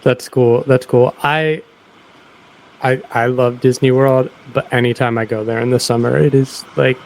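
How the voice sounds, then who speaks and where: A relaxed man hosts a casual podcast interview, laughing easily and chiming in with short agreements. His voice has a medium pitch. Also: unhurried, low-quality recording. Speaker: podcast host.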